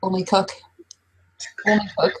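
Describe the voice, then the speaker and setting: A woman running and playing a casual, improvised tabletop role-playing game, talking in a halting, informal way. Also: medium pitch, flat delivery, a little hiss or hum, low-quality recording. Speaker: game master and player.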